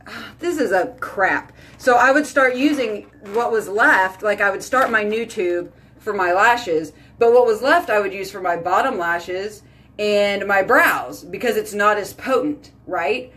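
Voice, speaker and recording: A woman, chatting casually to a phone camera at home as a beauty vlogger, talking in a lively, filler-heavy way. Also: medium pitch, a little slow. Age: 30s. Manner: chatty, animated, lots of "like" and "y'all". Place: small bedroom or bathroom at home. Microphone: phone camera at arm's length.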